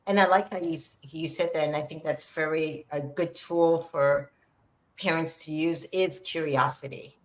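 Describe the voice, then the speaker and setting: A woman hosts a casual podcast conversation. Her voice is unusually low for a woman, and she steers the talk with questions and frequent affirmations. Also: steady tone, moderate pace. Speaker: podcast host.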